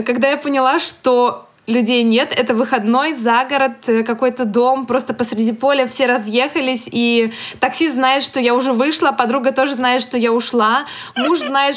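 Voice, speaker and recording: A woman with a high voice co-hosting a podcast. She tells casual, funny stories at her own expense, rambling along in a lighthearted manner. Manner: self-deprecating, rambling, lighthearted. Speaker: podcast co-host. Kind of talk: casual humorous storytelling.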